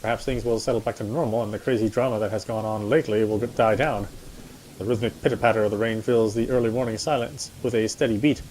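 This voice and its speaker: A man's voice: lowish voice, fairly even intonation, fast talker, a little mellow, slight background noise, poor audio. A man reading a horror visual novel aloud as a dramatic first-person narrator.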